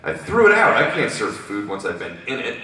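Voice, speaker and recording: A man's voice: low-pitched, lively intonation, quick pace, very echoey, distant mic, crowd noise, voice pushed up. A man voicing an irate pony witness who complains in a curt, indignant, huffy manner.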